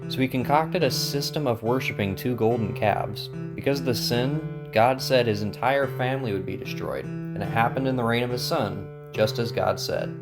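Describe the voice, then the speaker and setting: A man's voice: medium pitch, normal speed, few pauses. A man narrating a Bible story from a script as the narrator of an audio drama. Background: music with plucked guitar.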